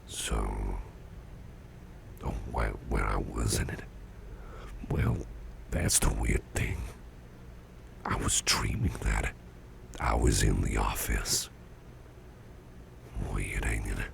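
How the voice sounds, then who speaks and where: An actor playing a sickly, gruff but genial boss in an ASMR roleplay, musing slowly and haltingly in a half-whisper close to the microphone. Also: male voice, lively intonation, slight background noise, full character voice. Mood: musing, uneasy. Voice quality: very deep, gravelly, breathy half-whisper. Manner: slow, halting, folksy musing with pauses.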